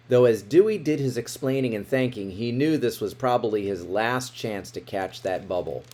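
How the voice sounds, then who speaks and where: A man reading a children's picture book aloud in an expressive way, with dramatic emphasis. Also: mid-range voice. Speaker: storybook reader.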